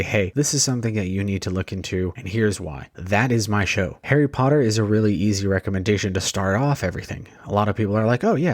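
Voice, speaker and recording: Low-voiced male podcast host, dry sound. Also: no room sound. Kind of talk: conversational solo trailer.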